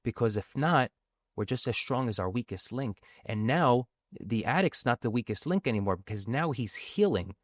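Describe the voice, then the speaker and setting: A man's voice: medium pitch, animated, moderate pace, no room sound, studio quality. A man from a Sicilian family, Sicilian his first language, talking earnestly about recovery in a casual conversation and often tagging phrases with "right?". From grew up in a Sicilian family, bilingual. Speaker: guest speaking on addiction recovery.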